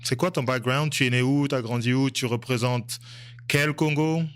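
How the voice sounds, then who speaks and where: Relaxed male podcast host. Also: mid-range voice, normal speed, smooth. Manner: relaxed, hesitant questions with frequent restarts. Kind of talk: casual interview.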